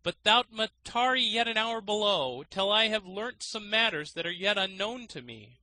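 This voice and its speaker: A man voicing a storybook trickster who boasts of lofty wisdom in slow, declamatory archaic speech. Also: sits high, lively intonation, sharp tone, no room sound, voice pushed up, heavily acted, low-quality recording. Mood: feigned reluctance. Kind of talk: storybook character reading.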